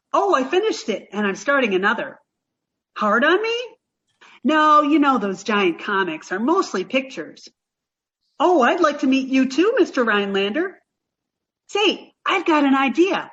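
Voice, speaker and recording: An actress playing a sweet, naive, dim-witted young woman in an old-time radio sitcom style, earnest and slow on the uptake. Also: high-pitched, bright, forceful tone, muffled recording, exaggerated voice.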